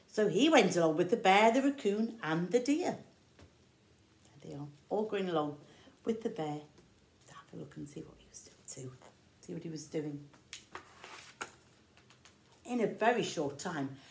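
A middle-aged woman with an English accent reads a picture book aloud to young children, expressively, explaining words simply as a teacher does. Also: lowish voice. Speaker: infant school teacher. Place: indoor room with large windows. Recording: roomy echo.

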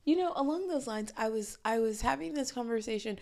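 A woman's voice: on the high side, lively intonation, fairly quick, darkish tone. A woman co-hosting a podcast with a slightly nasal voice, teasing dryly and laughing often. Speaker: podcast co-host. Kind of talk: casual podcast banter.

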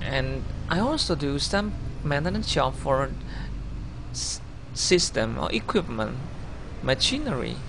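A man in his thirties with a Vietnamese accent, a native Vietnamese speaker, narrating his own video CV over slides in a halting way, often correcting himself. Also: a little high, big pitch swings, vocal fry, background noise. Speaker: job applicant.

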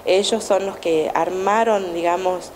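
A woman's voice: fairly low pitch, steady tone, a little slow, darkish tone, a little hiss or hum. A woman, a teacher answering news interview questions with many fillers and restarts. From Argentina. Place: indoor room at a school event. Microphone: handheld TV station microphone held close.

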